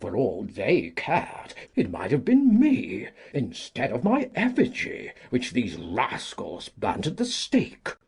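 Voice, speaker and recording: A woman voicing a cantankerous, self-important old gentleman in an audiobook, with a husky, gravelly voice and pompous, emphatic delivery. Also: rumbling low, huge pitch swings, takes it slowly, hardly any pauses, voice pushed down, exaggerated voice. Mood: indignant. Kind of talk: audiobook character reading.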